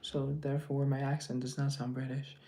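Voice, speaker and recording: Teasing man with a gravelly voice. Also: medium pitch, completely flat delivery, a little fast, crowd noise. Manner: teasing, joking, chatty. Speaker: live-stream host. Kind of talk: casual live chat, reading viewer comments.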